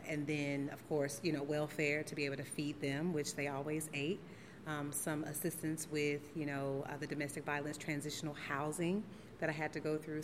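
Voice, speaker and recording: Low-voiced woman in her forties, reflective. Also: in a large hall, background noise. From St. Louis, Missouri, USA. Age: 40s. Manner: reflective, steady, with frequent ums. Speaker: oral history interviewee. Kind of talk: oral history interview. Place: theater venue.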